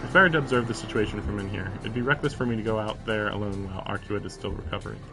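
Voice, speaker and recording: A man's voice: fairly low pitch, rapid-fire. Man streaming a visual novel, music behind. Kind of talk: reading game narration aloud with casual commentary. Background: game music.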